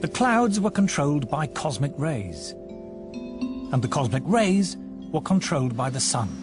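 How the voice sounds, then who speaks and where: A man narrating a documentary in a measured, deliberate scripted delivery. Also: on the high side, big pitch swings. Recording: narration over music from a film.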